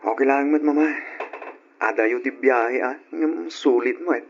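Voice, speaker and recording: Casting: affectionate, chuckling young husband for radio drama, slightly high and rough-edged, on a dull lo-fi recording. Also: male voice, normal speed. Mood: cheerful. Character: cheerful, affectionate young husband and dutiful son. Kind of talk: old-time Ilocano radio drama acting.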